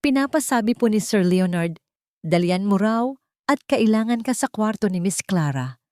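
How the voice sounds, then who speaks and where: A woman doing a hurried, polite nurse. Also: medium pitch, expressive, darkish tone, no echo, studio quality, voice pushed up, natural voice. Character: hurried young female nurse.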